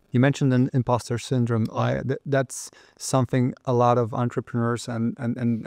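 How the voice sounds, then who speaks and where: A man hosts a conversational interview in a mid-range voice. He builds his sentences haltingly, doubling back on words as he goes. The recording is dry, free of room echo. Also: medium pitch, vocal fry, darkish tone. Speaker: interview host.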